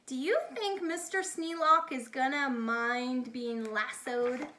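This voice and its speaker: A woman reading a picture book aloud to children in an expressive, emphatic way, with chatty asides. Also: high voice, takes it slowly, slight background noise. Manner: emphatic, theatrical delivery of rhyming text. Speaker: children's read-aloud reader, teacher-like. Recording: echoey, reverberant room sound.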